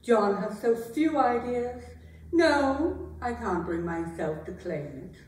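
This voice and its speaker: A woman's voice: a little high, expressive, takes it slowly, a little mellow. Woman playing a sardonic queen, echoing and distant. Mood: dry, sardonic. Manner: theatrical, dry, pointed. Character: proud, sardonic, wounded queen sparring with husband. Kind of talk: staged dramatic reading of a play. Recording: roomy, echoing hall, distant microphone.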